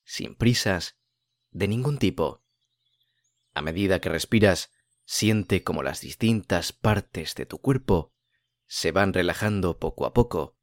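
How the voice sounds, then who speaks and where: A man narrating a guided meditation as host, speaking in a calm, soothing, unhurried way with long pauses. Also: low-pitched, no echo, studio quality.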